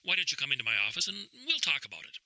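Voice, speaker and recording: An actor playing a fussy, concerned church colleague in an audio drama, with a folksy, fretful manner. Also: male voice, mid-range voice, huge pitch swings, rapid-fire, pushed and bright, dry recording, heavily acted, poor audio.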